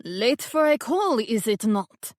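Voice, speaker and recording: A woman's voice: a little high, sing-song, slow, dry recording, studio quality. A woman voicing a cold, theatrical fake medium speaking with haughty disdain.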